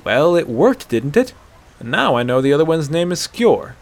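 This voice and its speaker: A man's voice: a little high, very animated, moderate pace. A man voicing a stubborn, sarcastic young hunter in a dramatic fantasy story, blunt and incredulous in his challenges. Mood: challenging, sarcastic. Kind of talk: dramatic fantasy audiobook character voice.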